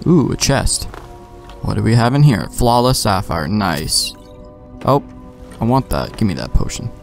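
A young man with a lowish, gravelly-edged voice does casual let's play commentary. He is offhand and slangy, thinking aloud, with faint game music underneath. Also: very animated, measured pace. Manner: offhand, slangy, talking to himself. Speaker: gamer, let's play host.

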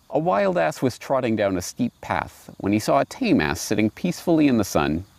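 A man in his forties talks reflectively in a fairly low voice with plenty of gravel. His intonation moves widely as he stresses his points deliberately. He is speaking outdoors into a lapel mic. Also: darkish tone, no echo. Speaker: host and commentator. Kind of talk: reflective commentary with read-aloud fables. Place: outdoors on grass by trees and water.

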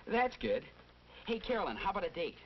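An easygoing, lively-pitched 1940s teenage boy. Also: fairly quick, recorded from a distance. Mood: hopeful. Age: young adult. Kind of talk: 1940s instructional film acting. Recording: muffled, noisy 1940s film soundtrack.

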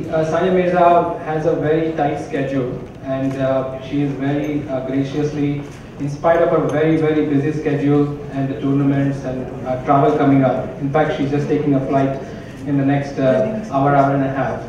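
A middle-aged man interviewing a guest on a hotel conference stage, halting, with many pauses and restarts. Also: medium pitch, little pitch movement, background noise. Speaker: interviewer and chapter chair. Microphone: handheld mic. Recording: echoey distant hall audio, muffled.

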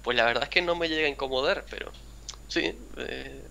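A young man from Andalusia whose mild Andalusian accent barely shows, talking in a rambling, chatty way and acting out little quoted greetings. His voice sounds bright and forward, at a middling pitch, over a casual call. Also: a little fast, gravelly. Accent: mild Andalusian Spanish. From Spain, a town near Seville. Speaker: gaming channel host. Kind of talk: casual chat over a video game.

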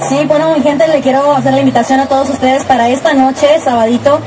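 A woman in her twenties, a singer, answering interview questions in a friendly, relaxed way. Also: high-pitched, fast talker, music in the background, poor audio. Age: 20s. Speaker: singer guest. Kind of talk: casual radio interview.

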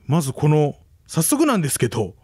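A man's voice: on the high side, wildly swooping pitch, fairly quick, darkish tone, husky, dry recording, studio quality. A man co-hosting a radio show, asking a guest questions in a casual chat style.

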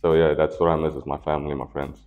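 A South African-born rugby player talking casually on a panel in short, plain sentences with a dry humor. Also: male voice, a bass voice, level tone, fast talker, narrow, tinny audio. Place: indoor room with a branded backdrop.

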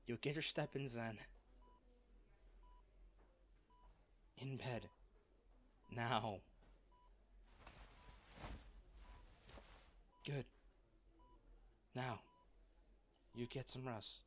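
Man acting as a devoted rich lover, slow and earnest. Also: mid-range voice, a bit bright. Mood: soft, soothing. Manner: tender, halting, emotionally earnest. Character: wealthy devoted male lover, earnest and protective. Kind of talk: romantic audio roleplay addressed to the listener.

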